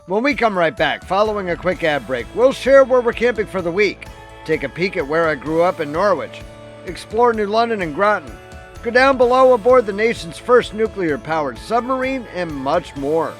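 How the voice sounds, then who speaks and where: High-voiced man hosting a travel show. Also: animated, moderate pace, faint music behind. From Connecticut. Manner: wry, mildly aggrieved storytelling.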